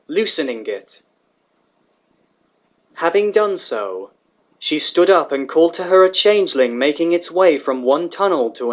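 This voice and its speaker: A man reading scripted fantasy fiction as the narrator. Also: a little high. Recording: slightly dull, lo-fi recording.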